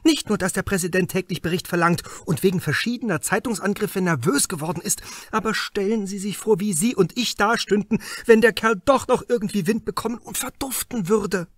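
An impatient, blustering police superior in a crime audiobook, acted out by a male reader. His voice sits rather high and presses urgently on his detective. The sound is dry and close. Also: brisk, few pauses, dry recording. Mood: agitated, urging. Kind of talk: audiobook character dialogue.

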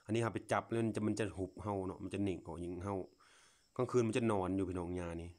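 Deep-voiced Lao man vlogging casually. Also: fairly even intonation, very fast, a little mellow. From Laos. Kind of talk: casual unscripted commentary to viewers while filming. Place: outdoors, at a grassy patch.

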